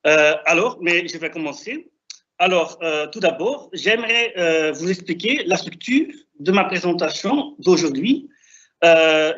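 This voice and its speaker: A young man who is not a native French speaker, with a non-native accent, giving a halting, didactic academic presentation over a video call. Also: a little high, fairly bright tone. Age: young adult. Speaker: doctoral researcher presenting. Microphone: video call, presenting remotely. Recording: dull, band-limited online call audio.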